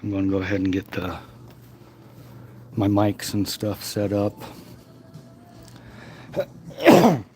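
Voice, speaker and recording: A hoarse man with bronchitis hosting a livestream, chatting casually and speaking straight to his viewers. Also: lowish voice, level tone, slow, pauses often, over music. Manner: informal, talks directly to the chat. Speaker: livestream host.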